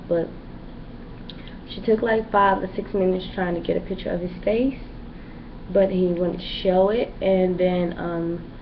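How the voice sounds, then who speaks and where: A young woman giving a casual, rambling pregnancy update in a vlog, with lots of fillers. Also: medium pitch. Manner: rambling and chatty, many fillers. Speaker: pregnant vlogger. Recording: dull lo-fi home recording with background noise.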